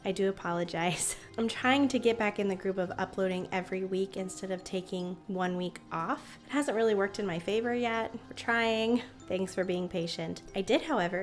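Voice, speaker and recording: A hoarse woman in her thirties, telling a creepy story to her viewers in a chatty, animated way. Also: mid-range voice. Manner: chatty, animated, reacts with little laughs. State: hoarse, recovering from illness. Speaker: spooky history YouTuber. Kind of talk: casual storytelling to camera.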